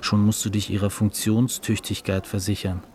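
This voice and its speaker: An actor playing a cool, cynical male dealmaker in a German radio drama, taunting and deliberate, with a superior, mocking manner. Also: lowish voice, little pitch movement, a little mellow, close to an everyday voice.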